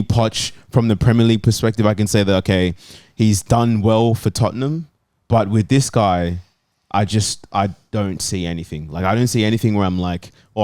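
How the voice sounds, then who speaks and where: A man's voice: on the low side, darkish tone, no room sound. A man talking casually as a football panellist, giving his opinion in a debate.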